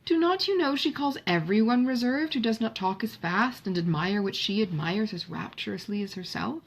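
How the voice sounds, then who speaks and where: A woman voicing a composed, perceptive and gently teasing young lady in an audiobook of a Regency novel, with precise formal diction. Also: medium pitch, lively intonation, moderate pace. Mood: lightly teasing. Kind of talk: audiobook character dialogue.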